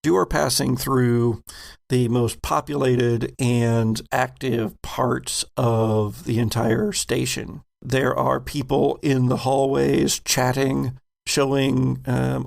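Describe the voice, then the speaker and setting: Male game master: slow, deeply creaky voice, improvised scene-setting, measured and descriptive.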